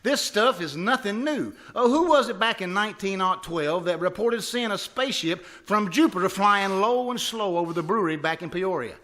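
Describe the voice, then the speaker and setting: Casting: male actor as blustering know-it-all husband, high, sharp voice, folksy old-time radio comedy delivery. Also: animated, normal speed, hardly any pauses. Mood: scoffing, cocksure. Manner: emphatic, scoffing, folksy. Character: blustering, stubborn, cocksure husband full of malapropisms. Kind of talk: 1950s radio comedy acting, live re-enactment.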